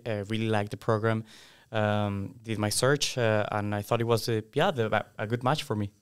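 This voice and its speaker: A man in his twenties from Mexico, a student guest speaking easily with many pauses. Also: fairly low pitch, steady tone, clear, resonant tone. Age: 20s. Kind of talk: casual interview chat. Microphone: desk mic on stand.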